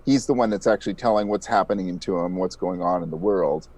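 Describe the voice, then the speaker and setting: A man's voice: low-pitched, a little fast, a little mellow, no echo. A man speaking as an interview guest, explaining things modestly in a conversational way. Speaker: interview guest, audio drama podcast creator.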